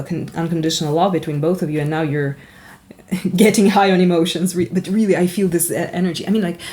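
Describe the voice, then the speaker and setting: A woman from Ukraine with a Ukrainian accent, a native Russian speaker, giving a chatty tarot card reading in an animated, giggly, rambling and candid way. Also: sits low. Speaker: tarot reader.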